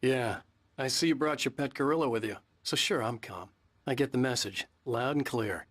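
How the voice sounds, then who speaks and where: A man's voice: medium pitch, normal speed, a bit bright, close to an everyday voice. A man playing a troubled, sardonic writer in a video game, speaking in terse, brooding lines with a defiant streak. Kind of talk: dramatic game narration and dialogue. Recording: music playing behind the voice.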